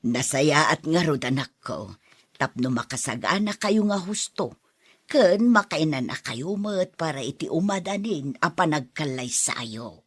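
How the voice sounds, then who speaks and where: A woman playing a gentle, caring older mother in an audio drama, speaking in a soothing, measured way. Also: deep voice, animated, slightly rough, no room sound, studio quality, heavily acted.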